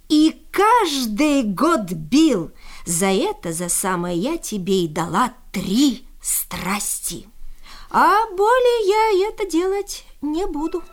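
A proud, stern magical eagle out of a storybook, acted out by a woman narrator. The speech is slow and solemn, drawn out word by word, with a high, widely swinging melody. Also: big pitch swings, exaggerated voice.

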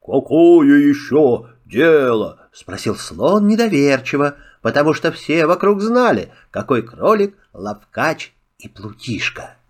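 A man tells a children's fairy tale at an unhurried, careful pace. His fairly high voice rises and falls with lively expression. It is clean, scripted storybook narration.

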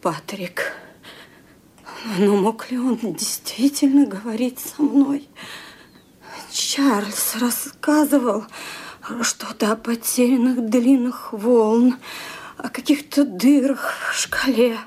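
Slow, husky old lady. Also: fairly high pitch, darkish tone. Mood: awed, whispering. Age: older. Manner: slow, deliberate, musing aloud. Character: frail old widow, imperious, fretful, brooding on death. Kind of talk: radio play acting.

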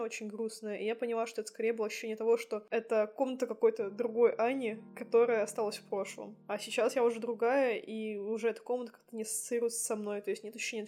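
Podcast co-host: female, twenties, fairly high voice, informal introspective storytelling, audible music bed. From Saint Petersburg, Russia. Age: 20s. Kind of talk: reflective casual chat, personal storytelling.

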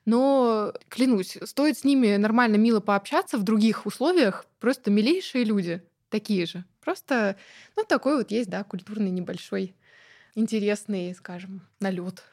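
A woman guest talking in a relaxed interview, chatty and lively, with many fillers and light laughs. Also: medium pitch, normal speed. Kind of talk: casual conversational interview.

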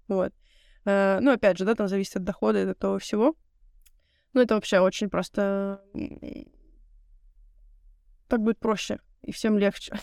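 A woman's voice: mid-range voice, creaky, darkish tone, dry recording, studio quality. A young woman co-hosting a podcast, chatting casually with lots of filler words. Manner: rapid, filler-heavy slangy talk. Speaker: podcast co-host. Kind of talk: casual chat.

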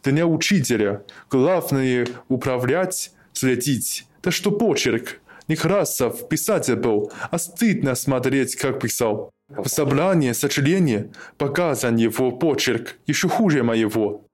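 A man's voice: mid-range voice, expressive, unhurried, few pauses. A man acting a touchy, boastful young schoolteacher suitor in a radio play, defensive and self-important. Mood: self-assured.

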